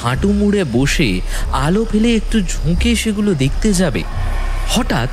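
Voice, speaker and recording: A man narrating a scripted horror story in a dramatic, suspenseful manner with deliberate pauses. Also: fairly high pitch. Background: background music and sound effects.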